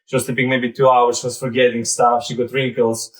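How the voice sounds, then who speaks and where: A man in his thirties with a Belarusian accent gives an informal live presentation in a halting, conversational way, often saying "like" and "basically". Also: mid-range voice, completely flat delivery, a bit bright. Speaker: presenter, startup founder.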